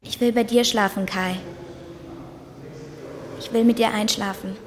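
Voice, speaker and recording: A woman acting a demanding, sharp-tongued young girlfriend in an audio drama, direct and cutting. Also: fairly high pitch, steady tone, measured pace, crowd noise, lightly acted. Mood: coaxing, wary. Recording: music bed behind dialogue.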